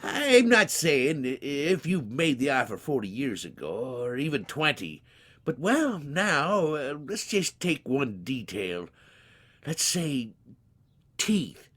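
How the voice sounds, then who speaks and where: A man's voice: mid-range voice, very animated, slow, fairly bright tone, voice pushed up, heavily acted. A man voicing a plain-spoken, stubborn man in his nineties from a storybook, with a rambling, exclaiming, folksy manner. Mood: reflective. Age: 90s. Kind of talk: dramatized storybook reading.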